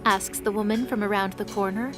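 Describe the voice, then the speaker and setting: A woman reading scripted dramatic story narration in a measured, descriptive storytelling manner. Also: medium pitch, steady tone, over music.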